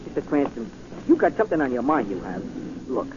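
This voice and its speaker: An actor playing an eager, chummy sidekick who repeats himself, in a 1940s radio drama. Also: male voice, mid-range voice, very animated, brisk, lightly acted. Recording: noisy, muffled 1940 radio recording.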